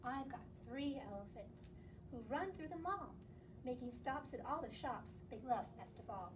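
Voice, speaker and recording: Woman, high voice, children's story time host. Reads picture books aloud and prompts little listeners. Noisy, distant recording. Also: expressive, normal speed. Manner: addresses young children with questions and encouragement. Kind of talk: children's read-aloud and singalong. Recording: distant mic, clearly noisy background.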